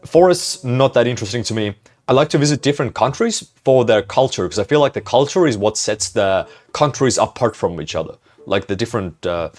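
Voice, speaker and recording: A young man answering viewer questions in a casual vlog, rambling, self-mocking and swearing offhandedly. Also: medium pitch. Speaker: vlogger.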